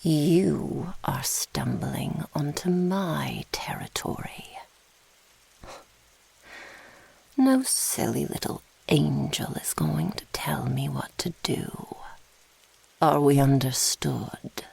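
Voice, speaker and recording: Slow, whispery, gravelly dark mage. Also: female voice, low voice, expressive, full character voice. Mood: imperious, threatening. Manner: slow, haughty, archaic diction. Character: menacing ancient dark mage, imperious, gloating, predatory. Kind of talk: fantasy ASMR roleplay acting.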